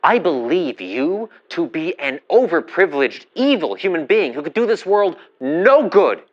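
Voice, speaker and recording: A man's voice: on the high side, very animated, measured pace, muffled recording. An actor playing a principled, indignant man challenging a powerful figure in a 1950s-set audio drama, articulate and emphatic through a telephone filter.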